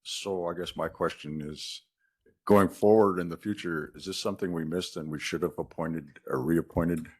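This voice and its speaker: Deep raspy guy running a board meeting, procedural talk. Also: fairly even intonation, normal speed. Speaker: meeting chair.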